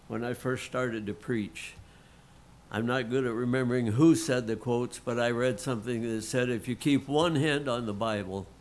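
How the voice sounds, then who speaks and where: A middle-aged lay pastor preaches at an unhurried, deliberate pace. His voice sits at an ordinary pitch, earnest and homespun. A frog in his throat has him clearing it between phrases. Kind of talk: sermon.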